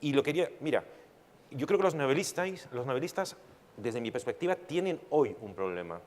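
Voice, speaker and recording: A man's voice: mid-range voice, animated, fairly quick. A man making a reflective argument on a public panel, using rhetorical questions. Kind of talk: panel discussion. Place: large hall at a public panel. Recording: hall reverb with faint noise.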